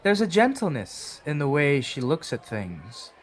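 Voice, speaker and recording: A man reading scripted narration for a horror audio drama in a measured, dramatic storytelling manner. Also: fairly high pitch, huge pitch swings. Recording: background music under the voice. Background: music.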